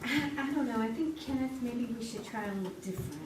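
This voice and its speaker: A woman's voice: fairly high pitch, quick pace. A woman answering casually as a game show contestant, talking guesses over with a partner. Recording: very noisy, echoey, distant stage microphone.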